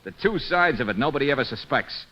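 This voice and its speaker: A man's voice: medium pitch, expressive, fast talker, close to an everyday voice. An actor playing a tough, sardonic, wisecracking male private eye in an old radio detective drama. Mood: sardonic, accusing. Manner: wisecracking, hard-boiled, accusing. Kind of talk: 1940s-50s radio detective drama acting. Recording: dull vintage radio recording.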